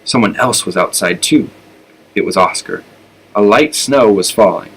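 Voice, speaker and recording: A man reading a children's story aloud with expressive narration. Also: on the low side, moderate pace, husky, low-quality recording. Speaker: elementary school storyteller.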